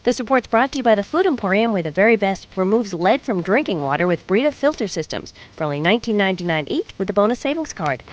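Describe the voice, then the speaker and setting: A woman's voice: medium pitch, animated, fast talker, few pauses. A woman reading a scripted traffic and weather report on the radio. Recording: dull, lo-fi radio sound.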